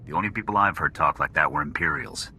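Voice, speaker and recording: An actor playing a wry, loyal soldier squadmate in a video game, making dry remarks. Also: male voice, extremely low pitch, fast talker, pushed and bright, slightly rough, exaggerated voice. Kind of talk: video game character acting.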